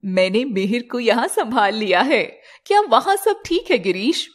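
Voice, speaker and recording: A woman voicing a scheming young bride in disguise, dramatic and wheedling, in an animated fairy tale. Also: a little high, normal speed. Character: scheming young bride posing as her sister.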